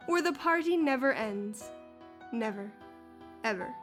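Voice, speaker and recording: A woman's voice: on the high side, expressive, measured pace, a bit bright, faint music behind. An actress playing a prickly, mock-sweet saleswoman with sinister patter in a parody radio advert. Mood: eerily inviting. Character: sinister cheery female estate advertiser, prickly.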